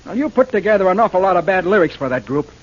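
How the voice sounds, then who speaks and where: A man's voice: high voice, lively intonation, very fast, noisy background. An actor playing a sarcastic male composer who needles his partner, in 1940s radio acting. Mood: needling. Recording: dull old radio broadcast with faint music.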